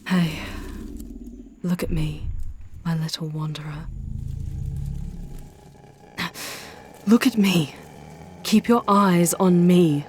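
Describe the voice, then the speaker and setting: A woman voicing a charming, protective female demon in an ASMR roleplay, speaking theatrically and intensely in hushed, drawn-out phrases that often slip toward a whisper. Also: fairly low pitch, slight background noise. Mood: alarmed, pleading.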